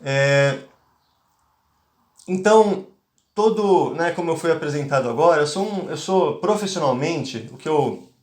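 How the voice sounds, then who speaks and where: A man's voice: a little high, animated, measured pace, long pauses, darkish tone. Hesitant Brazilian man lecturing, echoey. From Bahia, Brazil. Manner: hesitant, many fillers and restarts. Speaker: speaker, conflict mediator. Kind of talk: online lecture to teachers.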